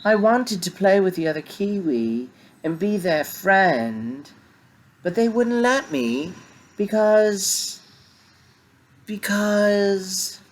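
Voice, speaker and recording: A man's voice: high voice, animated, takes it slowly, voice pushed up. A man voicing a small, sad young male kiwi bird in a storybook, pleading in a tearful, childlike way.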